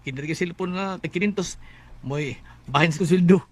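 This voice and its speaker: A man's voice: high-pitched, fairly quick. An actor playing a devoted, homesick working-class husband and father in a Bisaya radio drama, anxious and reluctant, with a Filipino accent. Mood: reluctant, flustered.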